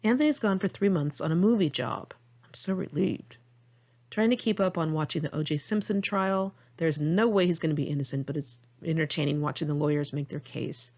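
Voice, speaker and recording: A woman's voice: low voice, fairly quick, darkish tone, lo-fi sound. An American woman reading her own diary-style memoir aloud in a candid, conversational way. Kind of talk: audiobook narration of diary entries.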